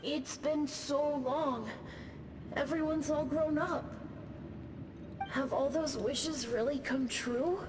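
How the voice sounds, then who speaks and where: Slow-spoken, high-voiced gentle girl. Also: noisy background. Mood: wistful. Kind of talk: video game voice acting. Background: game music.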